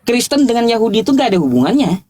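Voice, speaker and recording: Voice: mid-range voice, big pitch swings, racing, darkish tone, no room sound. A debater, speaking informally and insistently, challenging and repeating points in a religious argument. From Indonesia.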